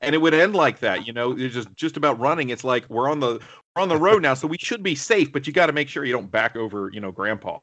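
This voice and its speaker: A man's voice: mid-range voice, animated, fast talker, a bit bright, lo-fi sound. A man co-hosting a casual film discussion, talking in a rambling, digressive way. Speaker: podcast co-host.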